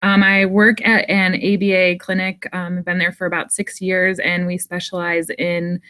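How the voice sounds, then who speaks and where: Female, 30, from the US, slightly low voice. Student giving a plain self-introduction for a group presentation. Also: completely flat delivery, moderate pace, sharp tone.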